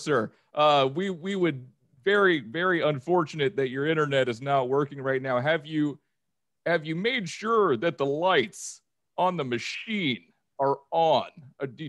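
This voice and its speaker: A man voicing an over-polite customer service agent, formal and halting, in an improvised comedy skit. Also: fairly high pitch, a bit bright, no echo. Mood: stilted politeness.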